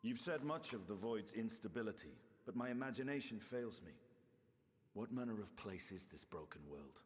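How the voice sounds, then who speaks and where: A man's voice: on the low side, expressive, normal speed, natural voice. An actor voicing an earnest, curious male scholar-adventurer in a video game, with formal phrasing. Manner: formal, inquisitive. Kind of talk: scripted video game voice acting. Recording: long reverb, music behind.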